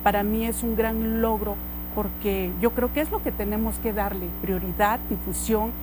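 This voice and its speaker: A woman's voice: medium pitch, moderate pace, over music, rough recording. A woman from Oaxaca whose first language is Mixtec, speaking earnestly as a guest on a radio interview. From Oaxaca, Mexico. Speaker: guest singer.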